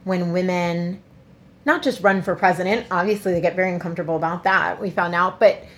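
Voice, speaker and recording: A woman co-hosting a podcast in casual chat, animated, swearing and mocking people in quoted voices. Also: lowish voice, fairly quick, a little hiss or hum. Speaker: podcast co-host.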